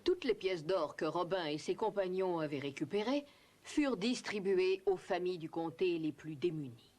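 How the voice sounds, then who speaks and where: A woman's voice: fairly low pitch, animated, moderate pace, background noise. A woman reading scripted storybook narration for a children's cartoon.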